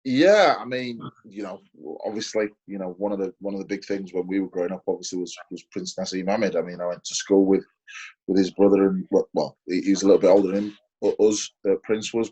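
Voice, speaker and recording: A deep, low-pitched man from Sheffield with a husky edge to his voice. He's in his forties and talks through his answers haltingly, circling back with plenty of fillers and restarts, in a relaxed interview. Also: muffled recording. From Sheffield, England. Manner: hesitant, many fillers and restarts. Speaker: interview guest, former footballer.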